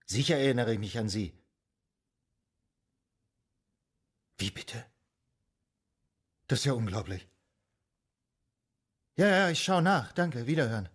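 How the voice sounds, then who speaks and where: An actor playing a courteous, troubled psychoanalyst with a formal, measured way of talking in a radio play. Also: male voice, medium pitch, expressive, fairly bright tone, husky. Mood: brisk, surprised. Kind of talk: German radio drama acting.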